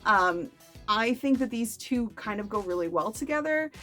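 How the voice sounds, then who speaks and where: A woman's voice: a little high, animated, moderate pace, pushed and bright, faint music behind. A woman chatting casually to camera as a book review vlogger, with playful, self-deprecating asides.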